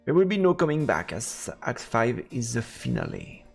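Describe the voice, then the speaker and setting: A man's voice: medium pitch, huge pitch swings, moderate pace, creaky, roomy sound, in a large hall. A man live-streaming a visual novel, reading the story text aloud and adding casual asides, with game music behind him.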